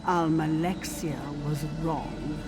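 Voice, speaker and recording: A woman's voice: deep voice, steady tone, slow, music in the background. A woman playing a sly, gleeful supernatural storyteller in a fantasy video game, theatrical and relishing each word. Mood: knowing relish. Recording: clearly noisy background.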